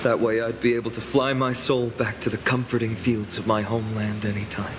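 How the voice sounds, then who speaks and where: An actor playing an earnest young male hero in a game, sincere and reflective, with quiet self-doubt. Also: fairly low pitch, flat delivery, a little fast, background noise, natural voice. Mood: wistful. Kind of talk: dramatic video game dialogue.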